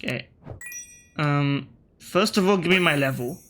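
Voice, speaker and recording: A man's voice: fairly high pitch, unhurried, a bit bright, a little hiss or hum. A man from Australia with an accent shifted by British media, talking through a strategy game in casual commentary. He thinks aloud, corrects himself and tosses wry asides at his opponents. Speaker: gaming video creator.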